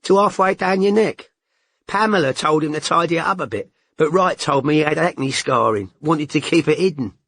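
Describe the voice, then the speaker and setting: A man voicing a cocky, smug man with a husky voice, in an audiobook, blunt and slangy. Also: a little high, normal speed, fairly bright tone, dry recording, voice pushed up. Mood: casual. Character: cocky working-class English man, chatty, smug. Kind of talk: audiobook character voice.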